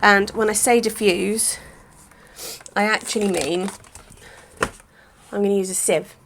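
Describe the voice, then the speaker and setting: A woman, chatting casually through a hair-care vlog, a little croaky, rambling with lots of "sort of". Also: medium pitch, steady tone, measured pace, noisy background. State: slightly croaky voice. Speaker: hair vlogger.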